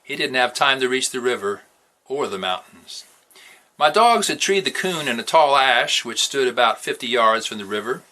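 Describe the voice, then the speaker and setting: Bright-voiced man reading a story. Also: medium pitch, lively intonation, moderate pace. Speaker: storyteller reading a novel aloud. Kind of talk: expressive read-aloud narration.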